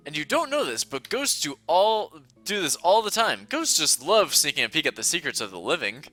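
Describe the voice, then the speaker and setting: A man narrates a text adventure for a friend in a loose, joking playthrough, laughing as he goes. His voice is bright and forceful, and his pitch jumps around animatedly. Faint music plays behind him. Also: big pitch swings, normal speed. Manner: playful, laughs often while reading. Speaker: host reading a text adventure aloud.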